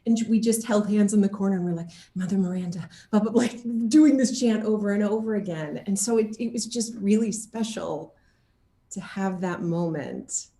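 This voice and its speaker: A woman's voice: medium pitch, moderate pace. A woman chatting casually as an interviewed actor, telling stories expressively and acting out quotes as she goes. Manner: animated, expressive storytelling, quoting others as she goes. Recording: echoey room.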